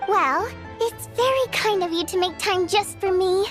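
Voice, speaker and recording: A woman playing a bubbly, cunning young theater singer in a video game, expressive, chirpy and coaxing. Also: very high voice, a little slow. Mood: sweet, delighted. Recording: music under the voice.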